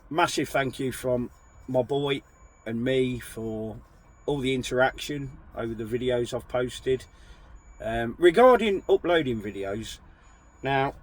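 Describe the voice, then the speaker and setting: A man with a croaky voice from a chest infection, chatting casually to camera as a truck driver vlogger, rambling and apologetic. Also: medium pitch, low-quality recording.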